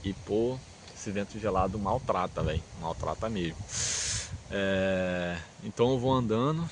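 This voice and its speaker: A man's voice: low-pitched, unhurried, slight background noise. A young man from Brazil in his twenties, vlogging outdoors in a rambling, slangy, laid-back way, often drifting off topic and laughing. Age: 20s. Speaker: travel vlogger. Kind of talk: casual rambling vlog. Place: outdoors in cold wind.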